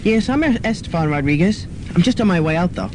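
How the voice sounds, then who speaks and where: A man's voice: animated, fairly quick. A man playing a bemused young college sophomore in a student radio comedy drama. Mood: bemused, casual. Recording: noisy student radio recording with rumble.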